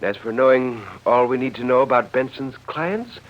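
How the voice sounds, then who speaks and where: An actor playing a smooth, condescending gentleman with a measured, patronising manner in a 1940s radio drama. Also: mid-range voice, close to an everyday voice. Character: smooth, condescending gentleman defending exclusion of outsiders. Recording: dull old radio recording.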